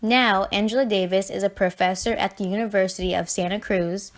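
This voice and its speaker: A woman reading a scripted documentary narration in a measured, read-aloud way. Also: mid-range voice, fairly even intonation.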